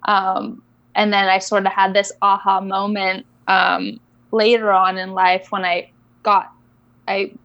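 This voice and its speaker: A young woman from Indonesia, a guest coach telling her story in a casual interview, reflective and unhurried with soft laughs. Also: mid-range voice, flat delivery, dry recording, lo-fi sound.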